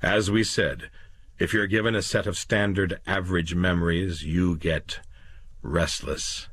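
A level, businesslike official speaks without malice in a very low, husky voice, as a man reads him. The delivery stays steady and matter-of-fact, recorded close and dry. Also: unhurried, no room sound, heavily acted. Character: calm official man, a police voice speaking into a mind.

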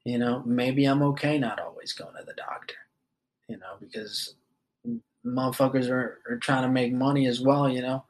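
A man's voice: medium pitch, fairly even intonation, moderate pace, rough recording. Rambling, foul-mouthed young man. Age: 20s. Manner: loose and rambling, swears often, many fillers. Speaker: podcast host. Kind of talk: casual monologue.

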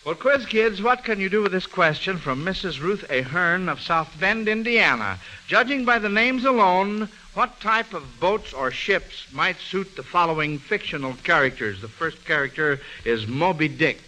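Male quiz host, high-pitched, piercingly bright, folksy, avuncular, old radio with faint noise. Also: animated, normal speed, low-quality recording. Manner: folksy, chuckling, repeats answers, avuncular toward children. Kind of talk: 1940s radio quiz hosting, conversational.

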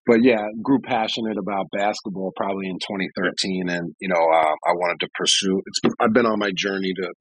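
A man who works as a referee, a guest in a casual interview, explaining things in a measured way. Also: low-pitched, steady tone, slightly rough, no room sound, poor audio.

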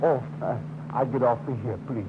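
A man's voice: medium pitch, normal speed, natural voice. An actor playing a grateful old Italian immigrant father who speaks broken English with an Italian accent, in a 1950s radio drama. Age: older. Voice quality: broken English, excitable then soft. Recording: muffled, hissy old radio recording.

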